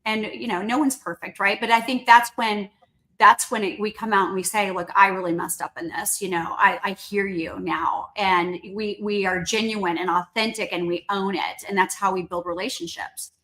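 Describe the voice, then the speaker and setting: A woman guest speaking as a company chief in a conversational interview, earnest and explanatory, often checking in with a quick tag question. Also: mid-range voice, fairly even intonation, bright, forceful tone, low-quality recording. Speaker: company CEO, podcast guest.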